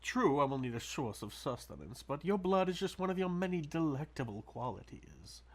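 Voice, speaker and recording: A man playing a sadistic, possessive vampire lord in an ASMR roleplay, with a silky, mocking, theatrical drawl and breathy, seductive touches. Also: fairly high pitch, big pitch swings, normal speed. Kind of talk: ASMR audio roleplay, intimate dramatic monologue.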